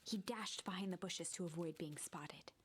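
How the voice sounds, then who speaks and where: A woman streamer reading a visual novel's narration aloud in a hushed, storybook manner. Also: fairly low pitch, normal speed, noisy background.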